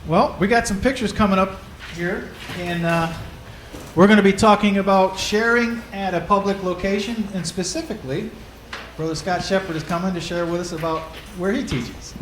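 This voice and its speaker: Casting: a high-voiced male seminar leader, casual, heard from off-mic in an echoey room. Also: moderate pace, slight background noise. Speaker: seminar leader introducing a speaker. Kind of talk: introducing the next speaker.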